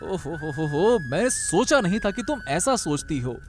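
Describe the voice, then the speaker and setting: A man's voice: on the high side, big pitch swings, unhurried, a little hiss or hum. Scolding, exclamatory husband, voiced by a man. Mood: indignant. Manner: exclamatory, reproachful. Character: scolding, indignant husband, protective of a girl. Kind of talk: Hindi cartoon moral story voice acting.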